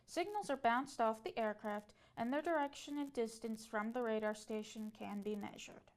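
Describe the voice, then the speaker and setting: Higher-pitched woman narrating an educational script. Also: moderate pace. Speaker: presenter.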